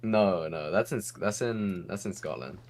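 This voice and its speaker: A man's voice: sits low, normal speed, a little mellow, a little hiss or hum. A young man from England giving casual online race commentary from his computer, chatty and laughing often.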